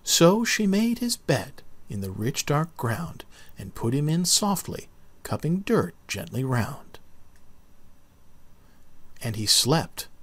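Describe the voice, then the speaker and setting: A man narrating a children's book as an expressive read-aloud. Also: medium pitch, a little hiss or hum. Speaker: storybook narrator.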